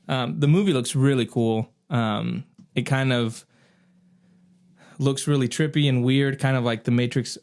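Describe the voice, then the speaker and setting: A man's voice: medium pitch, a little mellow. A man hosting a casual pop-culture podcast, chatting in an informal, conversational way. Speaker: podcast host.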